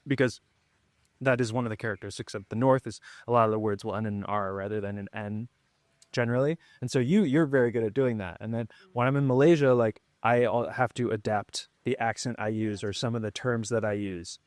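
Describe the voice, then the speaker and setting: A man hosting a podcast, giving relaxed, rambling advice in casual conversation. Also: lowish voice, a little fast, dry recording. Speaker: podcast host.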